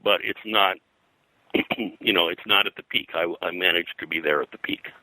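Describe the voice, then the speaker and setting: An older American man reminiscing as an interview guest, in a halting, rambling way. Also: deep voice, steady tone, sharp tone, no echo, low-quality recording. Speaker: guest, comics colorist and publisher.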